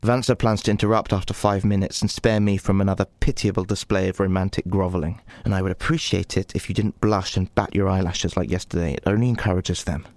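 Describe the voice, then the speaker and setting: An actor playing an irritable, protective advisor to a young sorceress, blunt and sarcastic, in a fantasy audio drama. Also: male voice, low-pitched, fairly even intonation, fast talker, few pauses, a little mellow, dry recording. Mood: sardonic, irritated.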